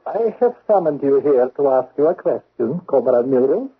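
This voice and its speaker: An actor playing a stern, accusing Soviet spymaster in a 1970s radio drama, interrogating in a declamatory, menacing way. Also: male voice, medium pitch, very animated, moderate pace, breathy edge. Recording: tinny phone-like old radio recording.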